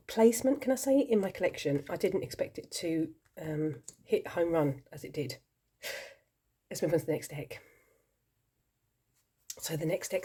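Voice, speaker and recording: A woman talking to camera in a rambling, chatty way with lots of ums, her voice croaky from a cold. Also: fairly low pitch, mellow. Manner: chatty, rambling, self-deprecating, many ums. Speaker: tarot vlogger. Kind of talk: casual chat to camera.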